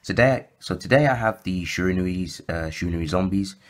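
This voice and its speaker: Low-pitched male host, a bit croaky, casual deck walkthrough, filler-heavy and self-correcting. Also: moderate pace. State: slightly croaky from sore throat. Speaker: YouTube deck profile host.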